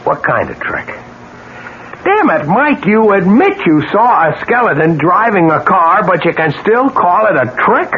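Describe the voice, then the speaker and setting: An actor in a 1970s American radio drama playing a discouraged, sceptical husband who sounds weary, sardonic and challenging. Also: male voice, sits high, sing-song, measured pace. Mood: exasperated. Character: discouraged, sceptical husband ready to give up his business. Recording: noisy, muffled 1970s radio broadcast recording.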